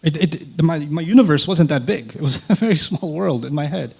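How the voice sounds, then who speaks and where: A man whose first language is Urdu, a guest in an interview, telling a personal story in an animated way and acting out quoted dialogue. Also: on the high side, brisk, vocal fry, breathy edge.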